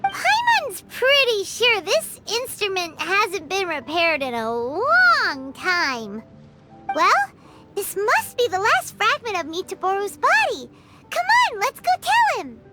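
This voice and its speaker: A woman voicing a tiny, curious, childlike fairy companion in a video game, with a squeaky voice and a cute, earnest manner. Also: huge pitch swings, slow, heavily acted. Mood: eager. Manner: cute, earnest, refers to herself by name. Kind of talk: video game character acting. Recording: loud game music behind the voice.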